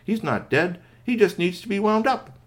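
A man's voice: high voice, animated, normal speed, pitched above the performer's own voice. A man voicing a small, eager, kindly friend of a toy in a children's story, earnest and matter-of-fact. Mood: reassuring.

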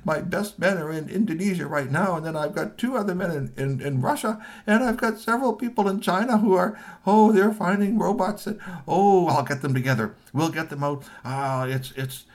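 A man's voice: a little high, normal speed, hardly any pauses, pitched above the performer's own voice, lightly acted. A man voicing a fawning male ninja chief who answers in a flustered, apologetic way.

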